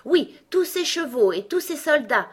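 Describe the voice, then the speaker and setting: A woman's voice: sits high, sing-song, unhurried, voice pushed up. A woman voicing a pompous, irritable male talking egg in a storybook, with a haughty, emphatic delivery. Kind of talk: storybook character acting.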